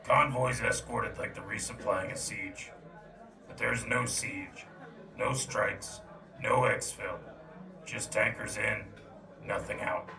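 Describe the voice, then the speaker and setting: An actor playing a stubborn, sardonic soldier with dry, clipped delivery in a sci-fi military audio drama. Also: male voice, fairly low pitch, fairly even intonation, takes it slowly, creaky, roomy sound, recorded from a distance, crowd noise, rough recording. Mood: grim, terse. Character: defiant, world-weary male soldier, sardonic and stubborn.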